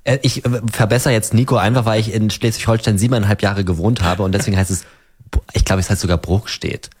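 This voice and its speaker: A man from Berlin co-hosting a talk podcast, unhurried and full of fillers. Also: on the low side, steady tone, darkish tone, husky. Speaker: podcast co-host. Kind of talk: casual chat.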